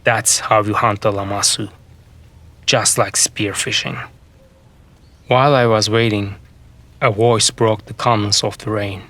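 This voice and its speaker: A man with a fairly low voice tells a scripted fantasy story in the first person. He goes very slowly, with deliberate phrasing broken into short segments. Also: flat delivery, bright, forceful tone.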